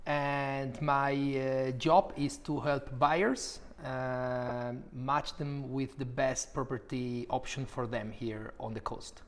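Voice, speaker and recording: A young man from Málaga speaks English with a Spanish accent, his voice sitting at an ordinary middle pitch. He answers questions in a relaxed, considered way, often pausing with "uh" while he finds his words. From Málaga, Spain. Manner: thoughtful, with frequent "uh" pauses. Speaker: property specialist at an agency.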